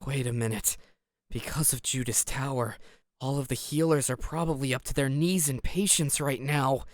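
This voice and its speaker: Male actor as authoritative master, medium pitch, brusque, commanding, dry audio drama sound. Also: normal speed, dry recording, lightly acted. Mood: urgent irritation. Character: commanding, brusque master used to being obeyed.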